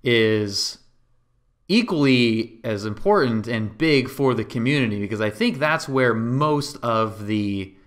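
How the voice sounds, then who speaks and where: A man's voice: medium pitch, a bit bright. A man hosting a podcast in a casual conversational interview style, often rephrasing his questions mid-sentence. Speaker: podcast host.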